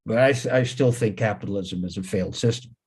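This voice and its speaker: Man in his 70s, hebrew first language, lowish voice, wry, kinda blunt, trips over words, talking on a video call. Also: quick pace, a little mellow, breathy edge. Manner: repeats himself, plain-spoken, wry. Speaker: speaker at a talk. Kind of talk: casual talk.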